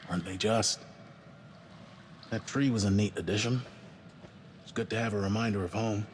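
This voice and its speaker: Low-voiced seasoned spaceship commander played by a man. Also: steady tone, a little hiss or hum, natural voice. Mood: warm praise. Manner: measured, formal, encouraging. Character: seasoned male spaceship commander, measured, proud of his crew. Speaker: mission commander. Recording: long hall reverb, faint music behind.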